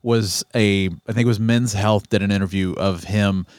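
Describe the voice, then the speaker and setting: A man's voice: moderate pace, clear, resonant tone, no room sound. A man co-hosting a casual movie podcast, often giving short agreeing replies. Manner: short agreeing replies, lively bouncing pitch. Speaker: podcast co-host.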